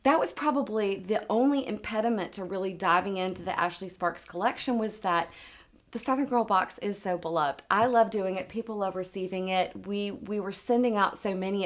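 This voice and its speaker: Chatty woman designer talking shop. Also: medium pitch. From American South. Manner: chatty, warm laughs, lots of fillers. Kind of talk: casual interview chat.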